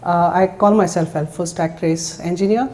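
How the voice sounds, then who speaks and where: A woman's voice: lowish voice, fairly even intonation, normal speed, a little mellow. A woman giving an informal self-introduction as a guest speaker at a tech talk. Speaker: guest speaker, support engineer. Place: indoor meetup room. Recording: faint background noise.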